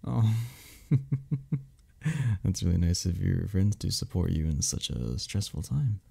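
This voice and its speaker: A man playing a flirty, friendly club member in an ASMR romance roleplay, teasing and reassuring in a breathy, gravelly voice. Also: low voice, lively intonation, measured pace, mellow, exaggerated voice. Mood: friendly, curious. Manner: teasing, reassuring, chuckles between phrases. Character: flirty, friendly male cuddle club member showing a newcomer around. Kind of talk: ASMR romance roleplay, one-sided conversation.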